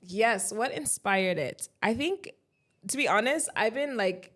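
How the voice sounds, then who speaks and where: A woman in her late thirties, a podcast guest chatting in a lively way, acting out her own thoughts in quotes and laughing often. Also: fairly high pitch, unhurried. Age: 30s. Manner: chatty and animated, breaks into quoted inner voices, laughs often. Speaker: podcast guest, café founder and life coach. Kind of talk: casual interview chat.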